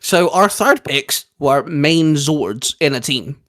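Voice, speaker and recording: A man co-hosting a casual fan discussion, chatty and informal with a halting delivery. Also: on the high side, expressive, fairly bright tone. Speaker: co-host.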